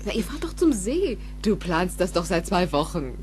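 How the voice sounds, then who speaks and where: A woman's voice: on the low side, big pitch swings, normal speed, a little mellow, a little hiss or hum. An actress playing a cheerful, affectionate suburban mother in a film audio drama. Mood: cheerful, fond.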